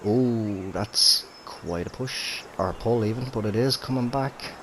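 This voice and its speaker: Throaty low-voiced man commentating a game. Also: a little slow, noisy background. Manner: easygoing, chatty, frequent fillers and restarts. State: slightly throaty from a cold. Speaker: gaming commentator. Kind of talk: casual gameplay commentary. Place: home room with TV and console. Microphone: gaming headset.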